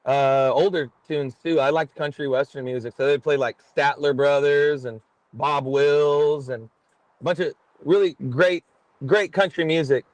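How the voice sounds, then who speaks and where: A man's voice: a little high, fairly even intonation, a little slow, no echo. A middle-aged man from Texas, chatting casually as a guest musician and telling rambling, self-deprecating stories. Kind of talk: casual podcast chat.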